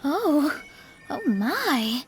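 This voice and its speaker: A woman voicing a young female astrologer mage in an anime game, polite but fussy and easily flustered, very expressive. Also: sits high, drawn-out words, soft background music, exaggerated voice. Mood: delighted. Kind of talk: anime video game voice acting.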